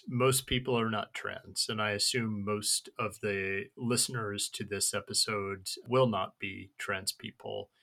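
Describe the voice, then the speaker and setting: A man with a lowish but bright, forward voice hosts a podcast. He speaks earnestly and in measured phrases, gently guiding the talk. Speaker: podcast host. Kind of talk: casual podcast conversation.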